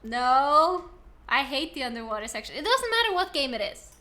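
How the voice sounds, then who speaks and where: A woman's voice: high voice, big pitch swings, normal speed, pushed and bright. A young Norwegian woman with a Norwegian accent streaming a video game, chatting casually and answering chat, a little self-deprecating. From Norway.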